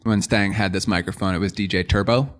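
A man's voice: on the low side, fairly even intonation, a little fast. An American man from the New York suburbs, explaining things conversationally as a company co-founder being interviewed on a podcast. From New York suburbs, United States.

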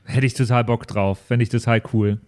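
A man's voice: mid-range voice, steady tone, a little fast, a little mellow, no echo, studio quality. A young man chatting casually and enthusiastically in colloquial language, as a player in a podcast. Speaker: podcast player brainstorming a character. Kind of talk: casual chat.

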